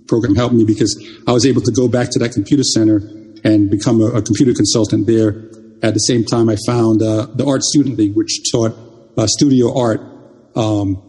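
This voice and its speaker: Older man from Jamaica, Jamaican first language, interview guest; mellow, husky voice, halting delivery with a long reverb. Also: on the low side. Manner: halting, many restarts and repeated words. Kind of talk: reminiscing interview talk.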